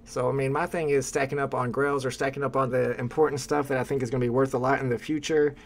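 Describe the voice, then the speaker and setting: Fast-talking young man hosting a livestream. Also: mid-range voice, flat delivery. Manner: quick, reads viewer comments aloud. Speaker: livestream host. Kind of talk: casual livestream chat.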